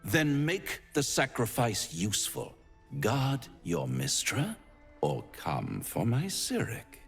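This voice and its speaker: An actor playing a suave, pompous devil in a video game, teasing and menacing in a florid, silky delivery. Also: male voice, mid-range voice, huge pitch swings, takes it slowly, gravelly, breathy edge, long reverb tail, soft background music, heavily acted. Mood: amused, courtly. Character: suave, theatrical male devil, smug, menacing tempter. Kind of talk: theatrical video game acting.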